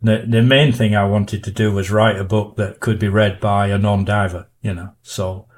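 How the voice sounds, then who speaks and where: An older man from northern England with a Yorkshire accent and a fairly low voice. He is a guest on a podcast, looking back casually on his life. His delivery is halting and self-effacing. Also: level tone. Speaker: guest, diver and author. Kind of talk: casual podcast interview, reminiscing.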